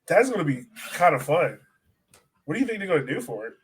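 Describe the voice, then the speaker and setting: A man's voice: fairly high pitch, very animated, quick pace. A man co-hosting a soccer podcast in casual, slangy, conversational sports chat.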